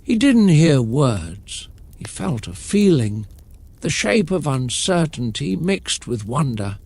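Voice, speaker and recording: Male bedtime storyteller, calm and soothing, fairly light pitch, very unhurried delivery. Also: creaky. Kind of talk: slow scripted bedtime story narration.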